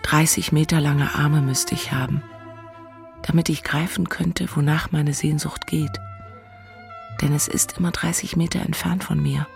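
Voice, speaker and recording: A woman's voice: low voice, steady tone, unhurried, gravelly, faint music behind. An actress playing a lovelorn, weepy and sardonic single woman from the city in a dramatic audiobook. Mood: sardonic longing. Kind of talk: theatrical audiobook monologue and dialogue.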